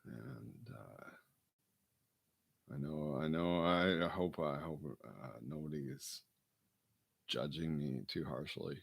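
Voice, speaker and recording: Gravelly low-voiced man rambling slowly. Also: sing-song, no room sound. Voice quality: gravelly baritone. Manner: meandering, self-deprecating, mumbled asides. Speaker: solo broadcaster. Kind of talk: rambling late-night monologue.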